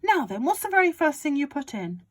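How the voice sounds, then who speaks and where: A woman reading aloud and voicing an excitable, bossy father who shouts his big plans. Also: fairly quick. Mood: overexcited. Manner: shouting, overexcited, booming. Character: excitable bossy father, middle-aged man.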